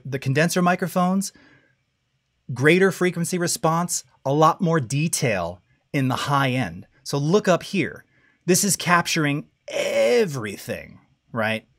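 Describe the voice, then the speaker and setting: A middle-aged man with a low voice hosting a live-stream audio tutorial, talking casually and checking in often with "all right?" and "okay?". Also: very animated, normal speed. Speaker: audio masterclass host. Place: home studio with dim blue lighting. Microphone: studio mic close up.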